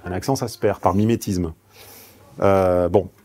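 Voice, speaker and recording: A man's voice: deep voice, steady tone, unhurried, mellow, a little hiss or hum. A man who grew up in southeastern France giving a paid seminar, frank and salty, telling personal anecdotes. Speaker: seminar speaker. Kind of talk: seminar talk.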